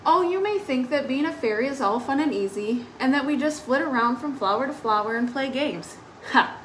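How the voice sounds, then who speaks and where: A woman's voice: high voice, lively intonation, moderate pace, slight background noise, voice pushed up, low-quality recording. A woman voicing a spirited, grumbling tomboy fairy from a storybook, telling her tale expressively. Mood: wry. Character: spirited tomboy fairy, hundred years old, grumbling about dainty rules.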